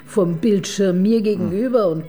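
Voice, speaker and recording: A woman's voice: medium pitch, normal speed, warm tone, lightly acted. A woman playing a shrewd, brooding police chief inspector in a radio crime drama, wry and thoughtful. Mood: pensive. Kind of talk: naturalistic German radio drama dialogue.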